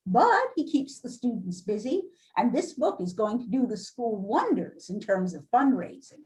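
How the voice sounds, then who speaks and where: A woman's voice: a little high, big pitch swings, normal speed, darkish tone. A woman playing a defensive, businesslike school administrator in an amateur readers theater reading. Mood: frank, polite.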